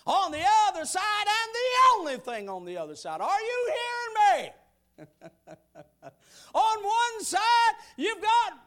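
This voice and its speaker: A man in his sixties preaching a sermon, building rhythmic repeated lines into shouted bursts, then dropping to quiet, folksy asides. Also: very high-pitched, wildly swooping pitch, slow pace. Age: 60s.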